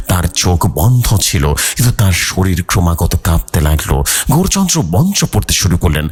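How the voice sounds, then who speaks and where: A man's voice: on the low side, breathy edge. A man narrating a horror story as its host, in a suspenseful, dramatic storytelling manner.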